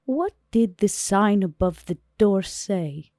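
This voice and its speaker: A woman playing a cold, commanding vampire club owner, questioning deliberately in a tabletop game. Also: medium pitch, expressive, a little mellow, no echo, studio quality. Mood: cold, insistent.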